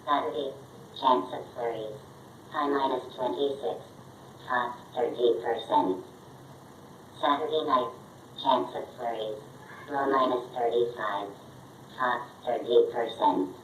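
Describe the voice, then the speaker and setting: A man's voice: mid-range voice, slow, pauses often, fairly bright tone. A synthetic male voice of a polite homemade robot assistant, giving short announcements. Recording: roomy, distant device speaker with noise.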